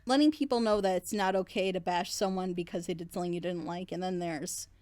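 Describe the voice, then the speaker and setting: A woman's voice: on the low side, fairly even intonation, quick pace, darkish tone, no echo. A woman in a casual group reaction chat, talking earnestly and passionately in long, rambling stretches. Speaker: reaction group member leading the discussion.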